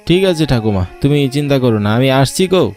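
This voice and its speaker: A man's voice: medium pitch, expressive, fairly quick, a little mellow, faint music behind, close to an everyday voice. A man voicing a put-upon but dutiful office worker and grandson in a story cartoon. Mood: affectionate. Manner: grumbling in monologue, affectionate to elder. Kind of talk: Bengali cartoon voice acting.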